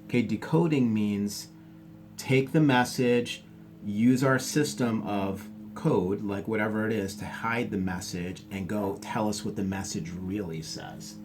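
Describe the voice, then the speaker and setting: A man reading a story aloud as a teacher, pausing to explain things to his listeners. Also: on the low side, over music. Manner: explanatory, pauses to clarify for listeners. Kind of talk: read-aloud storytelling with asides.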